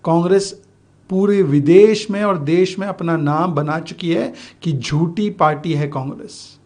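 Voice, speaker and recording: An Indian man delivers a political sound bite against his opponents. His voice sits a little higher than average, and he states his accusations in flat-out declarative phrases. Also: measured pace. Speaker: ruling party politician.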